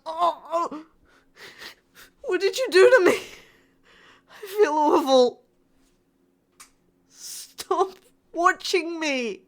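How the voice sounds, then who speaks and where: A woman playing a frightened, pleading yet defiant spaceship crew member in a horror sci-fi audio drama, with halting, emotional delivery. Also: high-pitched. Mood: weakened, angry.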